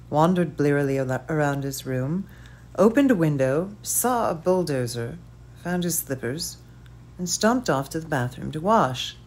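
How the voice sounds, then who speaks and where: A woman reads a story aloud in a measured, unhurried way. Her voice sits a little low for a woman, and her phrases often fall away softly at the end. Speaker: audiobook reader. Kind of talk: scripted narration.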